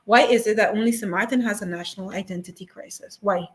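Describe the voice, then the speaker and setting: A woman's voice: mid-range voice, quick pace. A woman talks as a guest on a discussion show. She is earnest and circles back to her points, saying them again for emphasis. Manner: earnest, repeats phrases for emphasis. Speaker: museum guest. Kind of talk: casual talk show discussion.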